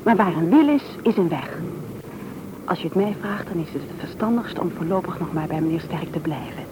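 An actress playing a tender, encouraging fiancée in an old Dutch radio play, earnest and affectionate. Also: female voice, lowish voice, lively intonation, brisk, close to an everyday voice. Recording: dull, noisy old radio recording.

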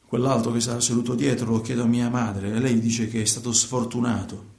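An actor playing a man who tells his own night-driving story in a halting, repetitive, colloquial radio monologue. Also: lowish voice, a little mellow, lightly acted. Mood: subdued. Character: agitated male night driver telling his own story. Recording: roomy, echoing sound.

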